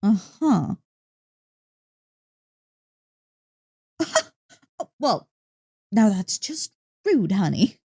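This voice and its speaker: A woman voicing an excitable, affectionate dog-girl girlfriend in an intimate ASMR roleplay, playful and easily flustered. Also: mid-range voice, very slow, gravelly, husky, dry recording, clean studio sound, exaggerated voice. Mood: teasing, affectionate. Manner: playful, expressive, mock-indignant teasing. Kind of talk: ASMR roleplay, intimate one-sided dialogue. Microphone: close, dry microphone.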